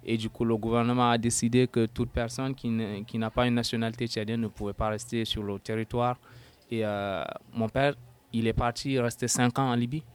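A young man in his twenties from Sudan speaks in a smooth, resonant voice at a mid pitch. He talks haltingly, pausing to search for words, and presses harder when something angers him. His tone is earnest and personal, the way a guest speaks in an interview. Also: little pitch movement. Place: at a housewarming gathering.

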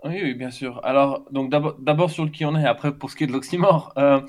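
Middle-aged man from Belgium, medium pitch, academic interview guest, halting repetitions, internet call. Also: darkish tone. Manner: halting, repeats words while thinking. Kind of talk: conversational interview answers. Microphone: remote internet call.

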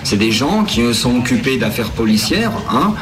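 A man's voice: mid-range voice, big pitch swings, moderate pace. A man speaking spontaneously as an activist, making an argument in colloquial words with frequent tag words. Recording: loud music over archive speech. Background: loud music.

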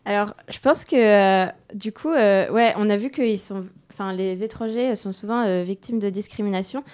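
A woman's voice: mid-range voice, moderate pace, darkish tone. A young woman hosting a radio programme, presenting conversationally with informal, hesitant links. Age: young adult.

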